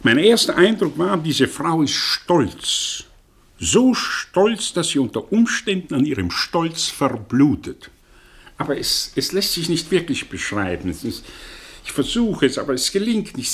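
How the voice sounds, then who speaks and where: Husky, slow, brooding professor. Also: male voice, on the high side, a bit bright, exaggerated voice. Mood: rapt, searching, then fervent, reflective. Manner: halting, searching, rhetorical, theatrical emphasis. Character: proud, scornful, brooding intellectual professor confessing an old obsession. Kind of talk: German radio play acting, 1960.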